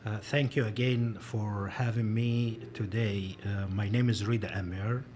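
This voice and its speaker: Low-voiced Egyptian-born professor. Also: male voice, steady tone, darkish tone. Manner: measured, careful, with frequent "uh" fillers. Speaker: professor, guest. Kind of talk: interview answers.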